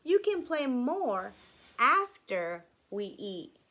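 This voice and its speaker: A woman's voice: sits high, very animated, slow pace, sharp tone, exaggerated voice. A woman voicing a kindly but firm grandfather in a children's storybook, speaking in a coaxing way.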